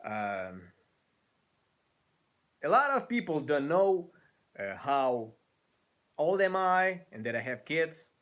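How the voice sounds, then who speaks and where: A man of twenty-seven with a Ukrainian accent, chatting casually on a live stream from a small room, animated and often restarting his sentences. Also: fairly high pitch, takes it slowly, pauses often. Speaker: guitar YouTuber. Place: small room by a brick wall, indoors.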